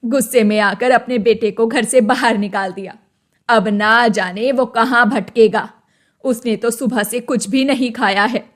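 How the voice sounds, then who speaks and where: An elderly village mother from a cartoon moral tale, played by a woman. Her voice is on the high side and full of exasperation. She scolds and laments dramatically, an anxious parent worn out by her son. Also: fairly even intonation, a little slow, natural voice. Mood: remorseful. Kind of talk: cartoon moral story voice acting.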